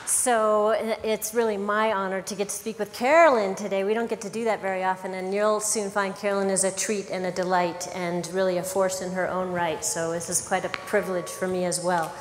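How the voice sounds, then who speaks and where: Engaging woman lecturer, lively, mid-pitched, long hall reverb. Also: a little fast, few pauses, noisy background. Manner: lively, engaging, talks to the audience. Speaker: naturopathic doctor, presenter. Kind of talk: health lecture. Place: conference hall. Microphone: wireless microphone.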